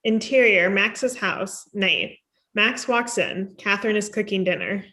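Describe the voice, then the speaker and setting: A woman's voice: medium pitch, unhurried, bright, forceful tone. Female narrator for script directions, mid-range voice, short scene-setting sentences. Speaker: stage directions reader.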